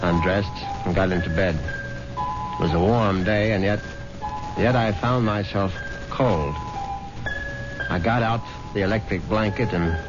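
A man's voice: sits low, a little slow, pauses often. An actor playing a smug, urbane rich widower who narrates his own scheme with dry irony in a 1970s radio drama. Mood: content. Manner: self-satisfied, urbane, drily ironic. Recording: muffled vintage radio broadcast, loud music behind.

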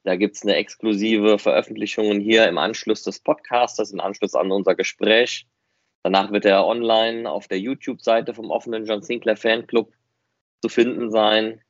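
A man's voice: on the low side, fairly even intonation, moderate pace, dry recording, highs cut off. A man chatting on a podcast, explaining things in detail with examples. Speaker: podcast host and fan audio drama director. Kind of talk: casual podcast conversation.

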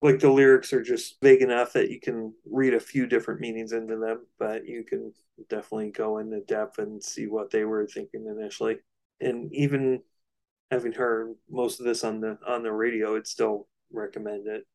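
Male podcast co-host, flat intonation, lowish pitch, informal explainer in relaxed conversational phrasing. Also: normal speed, poor audio. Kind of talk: casual podcast discussion.

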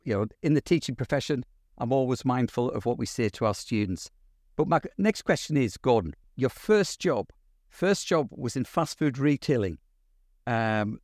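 A man hosting an interview podcast, speaking in formal, measured phrases. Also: medium pitch, darkish tone, no echo, studio quality. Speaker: podcast host, university lecturer. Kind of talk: scripted introduction and interview questions.

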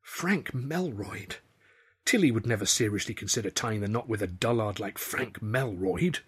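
A man reading his own novel aloud in the first person, with a wry, lively storytelling manner. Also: on the low side, moderate pace, slight background noise. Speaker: author and narrator. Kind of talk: audiobook narration, first person.

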